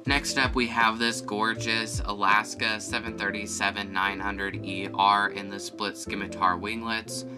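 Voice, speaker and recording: A man's voice: lowish voice, flat delivery, unhurried, hardly any pauses. A man who is feeling a bit sick, enthusiastically narrating a walkthrough of his model airport and listing each aircraft. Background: guitar music.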